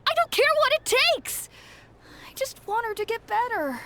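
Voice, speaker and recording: An actress in a video game playing a fierce, protective young child. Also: female voice, extremely high pitch, sing-song, normal speed, a bit bright, slight background noise, heavily acted. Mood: desperate, defiant. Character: fierce, protective little kid, younger sibling.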